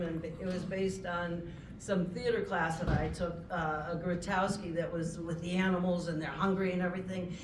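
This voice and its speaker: Chatty woman on an echoey convention panel. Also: fairly low pitch. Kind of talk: panel talk, storytelling. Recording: echoey hall, distant mic, background noise.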